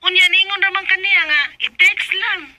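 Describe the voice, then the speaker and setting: A woman's voice: very high-pitched, steady tone, moderate pace, pushed and bright, a little hiss or hum, full character voice. A woman playing a frank young friend who defends her cousin in a radio drama, with a Bisaya accent. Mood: reproachful, explaining.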